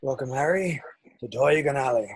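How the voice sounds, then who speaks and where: A man playing a gruff, kindly giant with folksy rustic phrasing in a screenplay table read. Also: medium pitch, normal speed, no echo, natural voice, poor audio. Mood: warm, knowing.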